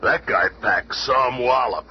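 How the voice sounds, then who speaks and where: An actor playing a gruff, brawny monster superhero with gravelly, slangy tough-guy banter in a radio drama. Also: mid-range voice, takes it slowly, close to an everyday voice. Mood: wry. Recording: old radio recording, very noisy.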